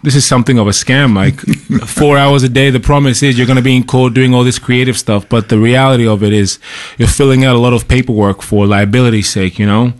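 A young man from Zambia, an actor, talking in a relaxed and chatty way as a guest in a radio studio interview. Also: medium pitch, a little fast, few pauses. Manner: relaxed, chatty, laughs easily.

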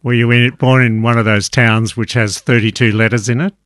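A man's voice: mid-range voice, little pitch movement, a little fast, fairly bright tone, no echo, clean studio sound. A man in his seventies co-hosting a radio interview, asking curious questions. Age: 70s. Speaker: radio co-host.

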